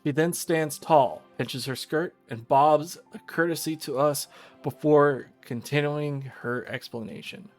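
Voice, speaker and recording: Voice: medium pitch, measured pace, soft background music. A streamer reading a visual novel aloud, narrating in a casual storytelling style.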